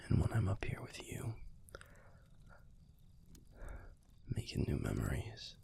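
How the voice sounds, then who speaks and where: A man playing a tender, wistful werewolf boyfriend in an intimate audio roleplay, speaking in a hushed, halting, husky and breathy voice with a gravelly edge. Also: low-pitched, sing-song, whispered, full character voice. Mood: tender, reflective. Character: tender, wistful young male werewolf pack leader boyfriend.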